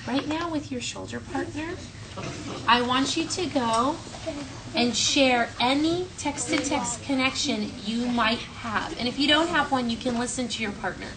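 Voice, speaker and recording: A woman teacher reading a story aloud to children in an expressive, clearly phrased way and talking to the class. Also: sits high, measured pace, a crowd in the background. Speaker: elementary school teacher. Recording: distant mic, faint classroom noise.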